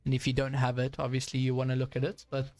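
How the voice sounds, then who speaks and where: A man's voice: mid-range voice, completely flat delivery, quick pace, a little mellow. A young man giving casual live commentary while playing a tank game, chatty with frequent fillers and frustrated asides. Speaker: gaming streamer.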